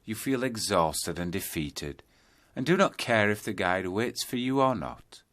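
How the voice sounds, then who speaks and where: A man narrating a scripted guided yoga nidra meditation, telling a journey in measured second-person storytelling. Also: fairly low pitch, lively intonation, fairly bright tone.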